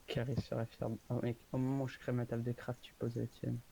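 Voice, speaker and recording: Young man gaming, excited and chatty. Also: medium pitch, gravelly, slightly rough, rough recording. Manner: excited, quick exclamations while playing. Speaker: gamer. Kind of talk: casual gaming chat.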